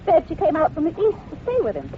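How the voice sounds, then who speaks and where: An actress playing a bossy, suspicious banker's wife in an old-time radio drama, nagging and scolding. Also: female voice, extremely high pitch, big pitch swings, fast talker. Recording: tinny old radio recording with faint noise.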